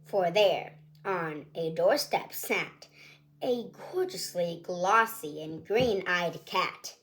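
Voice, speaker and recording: A woman's voice: fairly low pitch, animated, slow pace, fairly bright tone. A woman hosting her own channel, reading a picture book aloud to children in a cheerful, chatty, singsong storytelling way.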